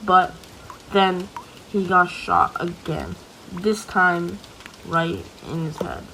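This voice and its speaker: A woman's voice: on the low side, takes it slowly, gravelly. A woman giving scripted narration as a detective's partner in an amateur radio play, with some noise and clicks on the recording.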